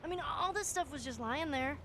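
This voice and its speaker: A high-voiced, cheeky teenage girl played by a woman in a video game cutscene. She is foul-mouthed and teasing, speaking naturalistically through clear background noise. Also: a little fast, close to an everyday voice. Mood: playful, teasing.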